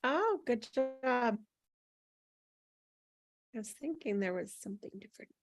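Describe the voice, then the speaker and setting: A woman's voice: a little high, lively intonation, a little slow, no room sound. A woman hosting a script table read over a video call, chatting in a friendly, easy way. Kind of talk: casual chat and script reading.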